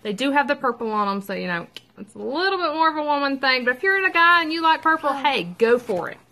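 Bright, chatty woman reviewing at home. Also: high-pitched, animated, quick pace. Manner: chatty, upbeat, rambling with fillers and asides. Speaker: product reviewer. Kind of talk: casual product review vlog. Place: at home with her child nearby.

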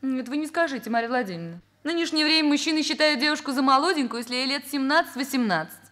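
Coy, high-pitched young secretary. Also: female voice, animated, very fast, pushed and bright. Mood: coy, wheedling. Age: young adult. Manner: coy, wheedling, chatty. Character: naive, coy young secretary, fashion-minded and chatty. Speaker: actor in a radio play. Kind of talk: Soviet radio drama acting.

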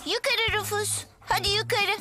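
A woman's voice: very high-pitched, fairly even intonation, normal speed, sharp tone, faint music behind. A woman voicing a cheerful, eager young girl who talks coaxingly in a children's cartoon dub. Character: cheerful young girl devoted to her dog.

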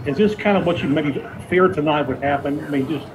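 A man's voice: a little high, brisk. A man working as a reporter, asking a question at a press conference. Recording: clear background noise.

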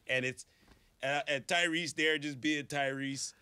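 Canadian guy in his 40s on a podcast couch, bright voice, dry mic, restarts his sentences a lot. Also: a little high, a little slow, clean tone, no room sound. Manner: animated storyteller, repeats phrases, stumbles over starts. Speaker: actor guest. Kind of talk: casual podcast conversation.

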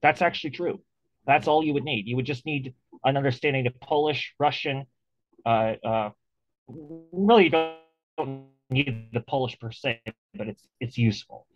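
A man's voice: medium pitch, a little slow, dull audio, faint music behind. A man hosting an interview over a video call, talking casually and animatedly, with many restarts and fillers. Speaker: interview host.

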